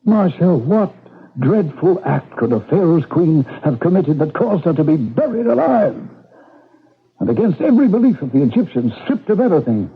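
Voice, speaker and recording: Tinny old radio play, proud obsessed old man, husky, testy, theatrical. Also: fairly high pitch, very animated, normal speed, full character voice. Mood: obsessed, determined. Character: obsessive, stubborn old husband, proud tomb hunter. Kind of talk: 1970s radio drama acting. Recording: tinny, band-limited old radio recording.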